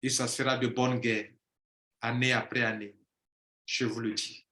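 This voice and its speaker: Bright-voiced man declaiming in an echoey room. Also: medium pitch, level tone, unhurried. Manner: declamatory, repeats phrases for emphasis, rises to emphatic peaks. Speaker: coach. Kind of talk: impassioned monologue.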